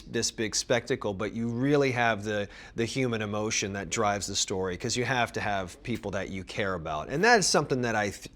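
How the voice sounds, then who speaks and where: A man's voice: medium pitch, a bit bright. A man, an actor, speaking earnestly and with animation in an interview, restarting his sentences now and then. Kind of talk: casual junket interview.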